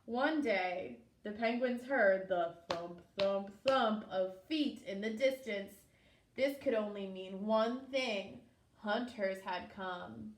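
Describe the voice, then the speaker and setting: A woman preschool teacher reading a story aloud to young children, expressively, pausing to explain and ask simple questions. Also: medium pitch. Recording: echoey room.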